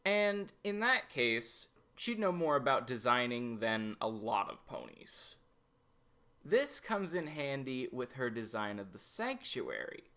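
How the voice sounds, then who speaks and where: Bright-voiced man reviewing, slow and animated. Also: medium pitch. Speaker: host of an animated series review show. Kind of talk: episode review commentary.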